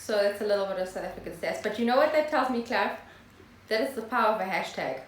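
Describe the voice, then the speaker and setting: Lively woman co-host in an echoey room. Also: medium pitch, quick pace, slight background noise. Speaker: podcast co-host. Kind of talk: casual chat. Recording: roomy echo, faint music behind.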